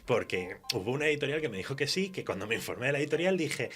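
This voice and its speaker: A man's voice: medium pitch, very animated, rapid-fire, a bit bright. Chatty Spanish man telling stories. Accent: Spanish from Spain. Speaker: guest writer and nurse. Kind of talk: casual radio interview chat.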